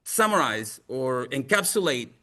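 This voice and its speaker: A man from Chile with a Chilean accent, giving a presentation in English as a non-native speaker in an earnest, emphatic and deliberate manner. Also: mid-range voice, big pitch swings, sharp tone. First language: Spanish. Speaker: museum outreach presenter. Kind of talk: presentation to an audience with slides.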